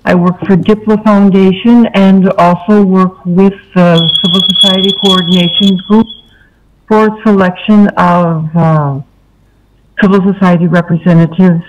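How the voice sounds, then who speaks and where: A woman delegate giving a self-introduction to a meeting. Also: lowish voice. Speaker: civil society delegate. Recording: dull, muffled remote audio.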